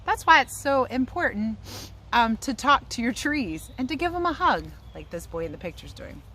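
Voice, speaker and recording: A woman teacher giving a chatty, expressive read-aloud lesson for young children, speaking outdoors. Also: a little high, moderate pace, a little hiss or hum. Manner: chatty, expressive asides and questions to listeners. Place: outdoors in a yard.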